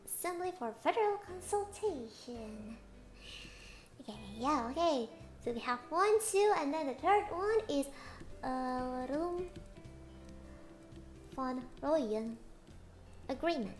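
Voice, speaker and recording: A woman talks through history in a high, slow voice, hoarse as if she has nearly lost it. She laughs a lot and keeps asking "okay?" to her viewers. Faint music plays behind her. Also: lively intonation, a little mellow. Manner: chatty, laughs often, checks in with "okay?". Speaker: streamer teaching history. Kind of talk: casual history talk on a livestream.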